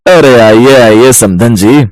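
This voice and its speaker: A man's voice: medium pitch, takes it slowly, darkish tone, slight background noise, close to an everyday voice, poor audio. A man voicing genial, approving village men in a moral story. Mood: pleased, welcoming.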